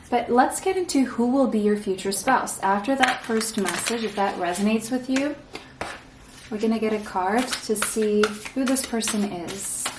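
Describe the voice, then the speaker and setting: Woman from portugal doing a tarot reading, soft and reassuring, keeps clearing her throat, a bit off-mic in an echoey room. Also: medium pitch, recorded from a distance, background noise. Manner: soft, reassuring, often ends points with "okay?". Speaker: tarot reader. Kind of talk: pick-a-card tarot reading.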